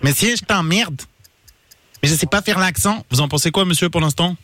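A man's voice: high-pitched, moderate pace, a bit bright. A man on a radio show making a playful, fake-polite prank call, with a French accent. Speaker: radio co-host.

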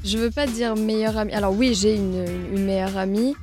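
A nineteen-year-old woman from southern France, a singer talking calmly and easily as a radio guest. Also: mid-range voice, brisk, faint music behind. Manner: calm, easygoing, soft-spoken. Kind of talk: relaxed interview talk. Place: radio studio.

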